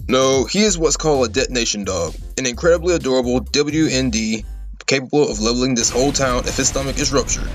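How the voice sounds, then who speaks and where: Man playing a folksy cartoon schemer, mid-pitched. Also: quick pace, faint music behind, natural voice. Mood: urgent explaining. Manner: colourful slangy patter. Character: folksy, cocky schemer leading a bumbling duo. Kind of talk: comedy cartoon voice acting.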